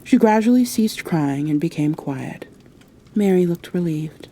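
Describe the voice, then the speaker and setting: A woman narrating a bedtime story aloud in a gentle ASMR style. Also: lowish voice, animated, unhurried.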